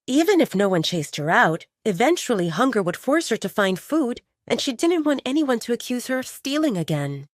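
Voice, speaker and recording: A woman reading a romance story as scripted audiobook narration, in an expressive, dramatic storytelling manner. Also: a little high, moderate pace, few pauses, no echo, studio quality.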